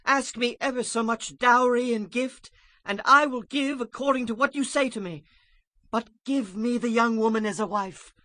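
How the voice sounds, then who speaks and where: An actor playing an eager, lovestruck young prince, imploring, in a dramatized scripture reading. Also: male voice, very high-pitched, moderate pace, bright, forceful tone, dry recording.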